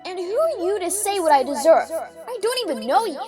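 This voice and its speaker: Woman as brash young female pony, proud, upset, animated, audio drama, loud music. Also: extremely high pitch, a little fast. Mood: defiant, upset. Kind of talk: fan audio drama acting.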